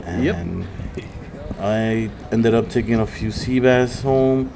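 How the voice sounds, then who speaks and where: A man vlogging a fishing trip, casually recounting the day in a plain, relaxed way. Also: on the low side, takes it slowly, vocal fry, crowd noise. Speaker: vlogger, angler. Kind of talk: casual chat and voice-over narration. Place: open deck of a fishing boat at sea, outdoors. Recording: loud boat engine and wind noise.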